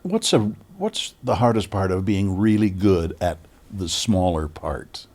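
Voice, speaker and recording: A man hosting a podcast asks questions at a slow, deliberate pace. His voice sits low, and he pauses as he speaks. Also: lively intonation, darkish tone. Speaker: podcast host. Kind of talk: interview question.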